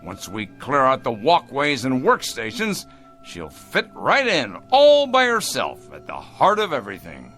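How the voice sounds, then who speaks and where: An actor in a radio drama playing a smug, sardonic factory boss zealous about machines, with grand mockery. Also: male voice, medium pitch, wildly swooping pitch, a little slow, bright, forceful tone, heavily acted. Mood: smug, gloating. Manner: sardonic, grandly mocking, repeating words. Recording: radio drama with music underneath.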